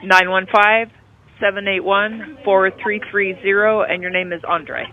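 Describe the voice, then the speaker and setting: A woman's voice: mid-range voice, unhurried, a little hiss or hum. A woman working as a nurse, talking in a firm, matter-of-fact way on a businesslike phone call. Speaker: nurse, hospital intake director. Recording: tinny, narrowband phone line.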